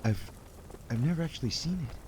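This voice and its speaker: A man's voice: on the high side, a little fast, a little hiss or hum, natural voice, rough recording. A man playing a frantic corn-maze captive. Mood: fearful. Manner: panicked warnings that turn to eerie calm. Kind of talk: radio play acting.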